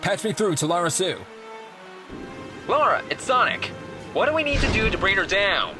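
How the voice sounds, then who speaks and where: Casting: heroic cartoon king and dad played by a man, commanding yet caring, very animated delivery, music underneath. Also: high-pitched, normal speed, exaggerated voice. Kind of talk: animated comic dub voice acting. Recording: music behind the voice.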